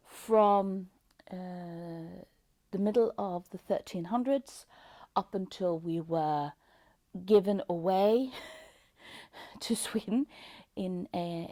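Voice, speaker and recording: A woman with a Norwegian accent, a native Norwegian speaker, hosting a casual book review vlog in a halting way, full of ums and uhs, with soft whispery asides. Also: mid-range voice, dry recording. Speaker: booktube host.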